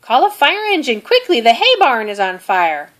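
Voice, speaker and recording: Woman as Poppy, a high, excitable farm girl. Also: normal speed. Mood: panicked. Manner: urgent, exclamatory.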